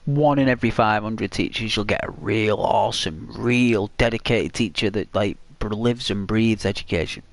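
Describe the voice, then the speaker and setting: A man's voice: on the low side, moderate pace, creaky, hardly any pauses. Rambling British man chatting over gameplay. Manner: rambling, full of "like" and restarts. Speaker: gaming channel host. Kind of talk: casual chat while playing a video game.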